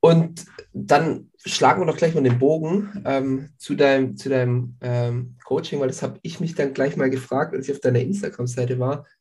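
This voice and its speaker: A man's voice: medium pitch, steady tone, moderate pace, a little mellow. A man hosting a sports podcast who has a cold, asking questions with some hesitant fillers. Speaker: podcast host. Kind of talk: podcast interview.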